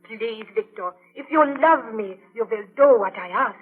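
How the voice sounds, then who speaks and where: An anguished young sweetheart in a thirties radio melodrama. Her voice is husky and imploring, full of fear. The sound is a muffled, thin old broadcast. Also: on the high side, lively intonation, normal speed. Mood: pleading. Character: anguished young woman, fearful, pleading sweetheart of scientist. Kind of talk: 1930s radio acting.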